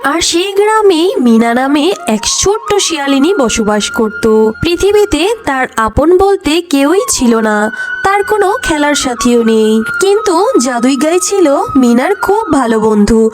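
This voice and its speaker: A woman's voice: high voice, very animated, measured pace. A woman reading scripted fairy-tale narration for a cartoon as its storyteller. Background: music.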